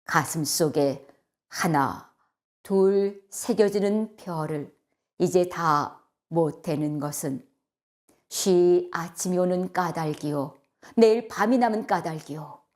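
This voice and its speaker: A woman voicing an earnest, sorrowful young male poet in a storybook, speaking in a solemn, measured, wistful way. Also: lowish voice, a little mellow. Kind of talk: storybook acting and solemn poetry recital.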